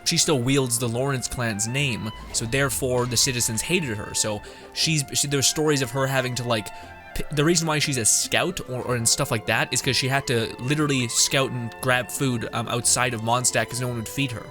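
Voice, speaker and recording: A man's voice: mid-range voice, steady tone, moderate pace, music in the background. Casual, rambling man streaming a game. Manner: rambling, reacts aloud to the game. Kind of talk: casual game playthrough commentary.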